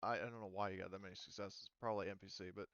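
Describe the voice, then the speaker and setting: A man running a tabletop roleplaying game as game master, talking casually and haltingly as he thinks through the rules aloud. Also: fairly low pitch, steady tone, a bit bright, dry recording, highs cut off. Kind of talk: casual tabletop game refereeing over online session.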